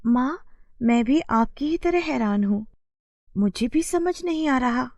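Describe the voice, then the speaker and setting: Lady doing poor village daughter in a bedtime story, really high voice, earnest n plaintive, kinda slow. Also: expressive, darkish tone, dry recording, full character voice. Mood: amazed, puzzled. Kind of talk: acted dialogue for moral story.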